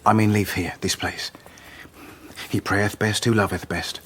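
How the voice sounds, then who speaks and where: An actor playing an agitated, devout asylum patient who speaks in cryptic, pleading turns in an audio drama. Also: male voice, fairly low pitch, flat delivery, unhurried, a bit bright, a little hiss or hum. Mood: urgent, pleading. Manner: cryptic, quoting verse, abrupt.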